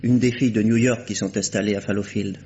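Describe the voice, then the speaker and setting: An actor playing a chatty, fussy caretaker in a vintage radio drama, folksy and hesitant. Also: male voice, fairly low pitch, fairly even intonation, fast talker, darkish tone, close to an everyday voice. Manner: folksy, hesitant fillers, gossipy. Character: chatty, fussy male doctor's caretaker, gossipy. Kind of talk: 1950s French radio drama acting. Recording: dull old radio recording with faint hiss.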